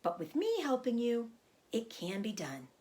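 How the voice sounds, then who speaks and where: A woman voicing a wise, gentle female spider who speaks politely, measured and a little dry. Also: fairly high pitch, wildly swooping pitch.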